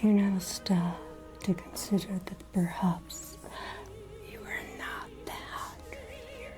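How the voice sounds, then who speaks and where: Woman acting out a vengeful vampire noblewoman, breathy whisper, very slow deliberate delivery, cold, imperious, ASMR roleplay. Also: mid-range voice, wildly swooping pitch, faint music behind. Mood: haughty contempt. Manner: slow, deliberate, haughty, softly threatening.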